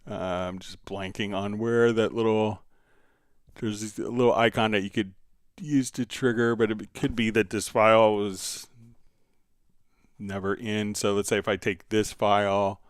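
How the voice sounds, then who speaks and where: Male tutorial host, lowish pitch, slow delivery, halting, thinking aloud, dry recording. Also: level tone, smooth, no room sound. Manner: hesitant, halting while demonstrating. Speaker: livestream host and software instructor.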